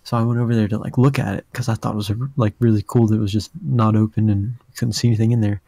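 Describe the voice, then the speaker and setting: A young man with a deep voice telling a rambling story on a casual podcast, full of fillers. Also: flat delivery, quick pace, creaky, dark tone, no echo. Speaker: podcast host.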